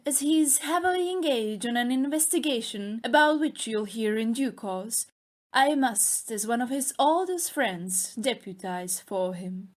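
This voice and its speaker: A woman acts a genteel lady novelist speaking formally on the radio. Her voice is fairly high, her delivery measured and gracious. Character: genteel, gracious lady mystery author giving radio address. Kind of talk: formal scripted radio address.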